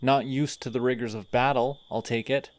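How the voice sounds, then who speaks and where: A man voicing a sardonic male telepathic villain in an audio roleplay, mocking and deliberate. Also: mid-range voice, fairly even intonation, lightly acted.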